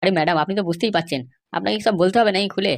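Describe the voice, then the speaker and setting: Woman playing a rapid-fire, stubborn cartoon cop. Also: medium pitch, creaky, close to an everyday voice. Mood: insinuating. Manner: insistent, dismissive, lecturing. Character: stubborn, bribe-seeking police officer guarding a prisoner. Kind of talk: Bengali comedy cartoon dialogue.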